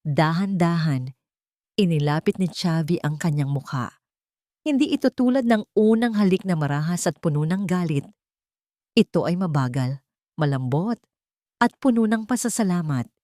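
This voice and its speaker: Female storyteller for romantic drama: low voice, very animated melody, sentimental delivery, close dry sound. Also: normal speed, long pauses, dry recording. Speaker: storyteller narrator.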